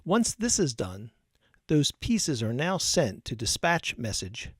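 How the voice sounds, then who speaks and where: A man narrating a scripted technical tutorial as an instructor, explaining in a measured, methodical way. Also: mid-range voice, no echo, studio quality.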